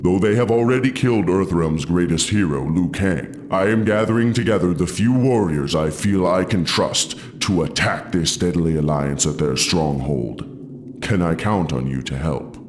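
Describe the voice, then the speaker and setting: Male actor for a grave, authoritative deity in a game cutscene, very low voice, clearly noisy background. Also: normal speed, natural voice. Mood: solemn, urgent. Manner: formal, authoritative. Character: commanding, solemn thunder god rallying warriors. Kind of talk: video game cutscene acting.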